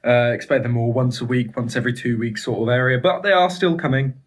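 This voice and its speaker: A man's voice: medium pitch, fairly even intonation, quick pace. Young man, 20s, casual vlog, upbeat, chatty, handheld phone, small bedroom. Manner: upbeat, chatty, many fillers. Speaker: fitness vlogger. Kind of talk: casual home gym tour. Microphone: handheld phone camera.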